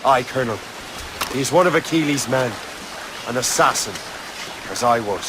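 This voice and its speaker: A man's voice: mid-range voice, moderate pace, noisy background, lightly acted. A man with an Irish accent playing a loyal, wry young sea captain in a video game. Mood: wry, guarded. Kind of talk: video game cutscene acting.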